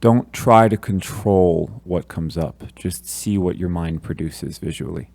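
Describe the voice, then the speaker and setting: A man leading a guided meditation, giving gentle, calm instructions with long pauses between phrases. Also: deep voice, gravelly.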